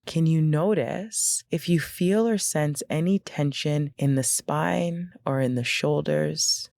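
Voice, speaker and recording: Female meditation guide: gentle and very slow, with noticeable vocal fry, recorded close and dry. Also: deep voice, no room sound. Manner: gentle, unhurried, instructional with pauses.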